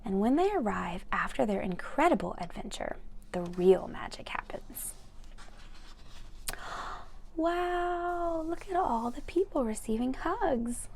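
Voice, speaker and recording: A woman with a slightly high voice reads a picture book aloud for young children. She is expressive and speaks to her listeners directly, adding chatty asides as she goes. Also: slow pace, creaky, a little hiss or hum. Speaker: read-aloud storyteller for children.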